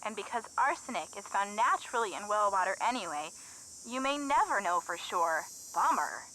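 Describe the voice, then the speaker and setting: A woman's voice: mid-range voice, expressive, moderate pace, bright, forceful tone, slight background noise, rough recording. A woman playing a practical, skeptical young sidekick in a video game, with an American accent and a pointed, inquisitive delivery. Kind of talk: video game voice acting, phone conversation.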